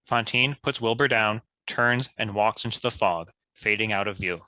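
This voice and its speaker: A man's voice: on the low side, moderate pace, sharp tone, dry recording, rough recording. A man reading stage directions as scripted narration for a table read.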